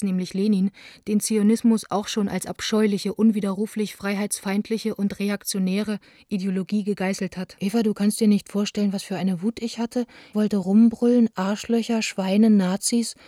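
An actress plays a young female theatre director in a radio play, frustrated yet defiant. Her voice is mellow and very evenly pitched, in a dry, close recording. She confides her letters in a subdued, literate way. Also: no room sound, natural voice. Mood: bitter, indignant. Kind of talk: radio play, letters read as intimate monologue.

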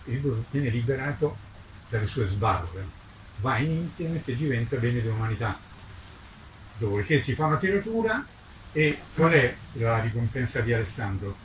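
An older man, over sixty, a publisher speaking in an impassioned, declamatory way at a public presentation, sometimes halting and repeating himself. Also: medium pitch, animated. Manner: emphatic, declamatory, with halting repetitions. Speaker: book publisher. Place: book presentation before an audience, recorded from a distance. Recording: roomy, distant mic with clear background noise.

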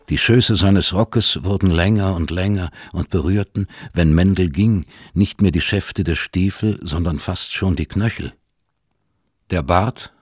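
A man narrating literary fiction for a radio play in a husky voice, with measured, sober storytelling. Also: sits low, dry recording, studio quality.

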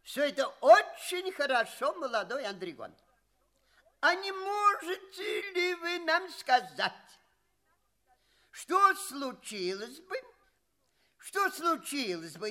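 An actor playing a pompous, condescending old alien professor in an audio play, with a drawn-out, theatrical delivery and repeated phrases. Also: male voice, very high-pitched, pushed and bright, heavily acted. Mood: condescending approval. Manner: drawn-out, chuckling, repeats himself.

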